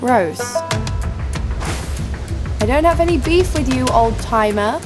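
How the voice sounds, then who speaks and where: A woman's voice: on the high side, animated, takes it slowly. A woman playing a tough, sardonic knife fighter who tells her own story in an audio drama. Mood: defiant. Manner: dry, sardonic. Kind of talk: scripted first-person audio drama narration. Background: music.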